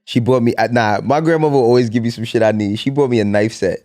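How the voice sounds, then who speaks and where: A man's voice: mid-range voice, fast, dark tone. A man in his thirties in casual chat, loose and swearing, often breaking into laughs. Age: 30s.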